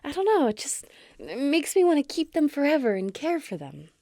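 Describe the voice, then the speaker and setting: An excitable, sweet-natured giantess, acted by a woman for an ASMR roleplay, doting on someone tiny. Her voice is high and swoops with lively, bubbly expression, turning tender and cooing. It is recorded close and dry. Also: brisk, no echo. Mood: fond, protective.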